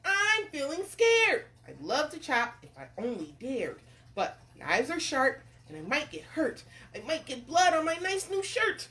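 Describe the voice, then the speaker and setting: Woman putting on a high-pitched, terrified wimpy male cook. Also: big pitch swings, moderate pace, fairly bright tone, full character voice. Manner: stammering, pleading.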